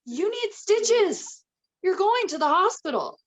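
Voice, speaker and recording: A woman's voice: very high voice, sing-song, slow pace, muffled recording, full character voice. A woman playing a firm, worried, bossy mother in a screenplay table read. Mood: alarmed, stern.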